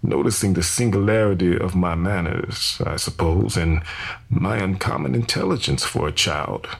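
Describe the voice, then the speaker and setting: A man's voice: deep voice, expressive, moderate pace, exaggerated voice. A man playing a solemn enslaved preacher in his thirties, convinced of his divine calling, giving grave, formal testimony in a historical dramatization. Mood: solemn, resolute. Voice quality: gravelly, husky.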